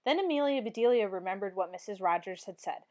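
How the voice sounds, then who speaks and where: A woman reading a children's storybook aloud in an expressive, clearly phrased narration. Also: mid-range voice, fast talker. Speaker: storybook reader.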